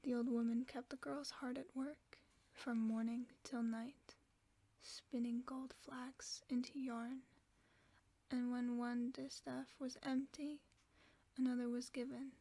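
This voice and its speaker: A gentle, protective werewolf friend, played by a woman, in a sleep-aid audio roleplay. The voice is a soft, breathy whisper, pitched a little high, with a mellow tone. It sits close to the microphone. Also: flat delivery, takes it slowly, no room sound. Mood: storytelling.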